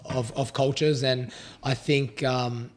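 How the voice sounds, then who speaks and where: A man co-hosting a podcast, talking in a halting, hesitant way with many fillers. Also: mid-range voice, fairly even intonation. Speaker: podcast co-host. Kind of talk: casual chat.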